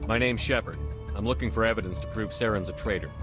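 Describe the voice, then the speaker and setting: A man's voice: on the low side, quick pace, over music, lightly acted. A man playing a resolute military commander in a video game, terse and businesslike. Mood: firm. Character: resolute male military commander, space hero. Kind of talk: video game cutscene acting.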